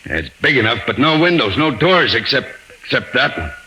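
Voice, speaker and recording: An actor playing an impatient, scornful criminal on the run, curt and sarcastic, in 1940s radio drama style. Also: male voice, mid-range voice, big pitch swings, moderate pace, bright, forceful tone, slight background noise, poor audio. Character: hard-boiled, sneering, impatient criminal on the run. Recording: old 1940s radio recording.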